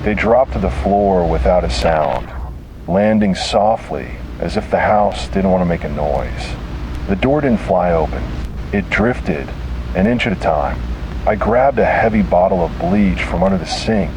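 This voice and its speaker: Low-voiced man narrating horror over noise. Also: unhurried. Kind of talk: scripted first-person horror narration.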